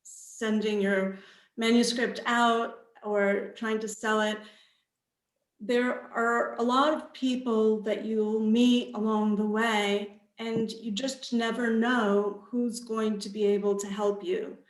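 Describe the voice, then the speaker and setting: A woman, an author on a panel, speaking in a hesitant, halting way. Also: fairly high pitch, little pitch movement. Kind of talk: casual panel talk. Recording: roomy echo.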